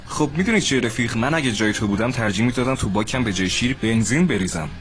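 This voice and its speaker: A man voicing a fretful, easily alarmed male friend who stammers and fusses, in a comedy dub of an animated sketch. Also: mid-range voice, natural voice. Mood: exasperated. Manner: fussy, stammering, exasperated.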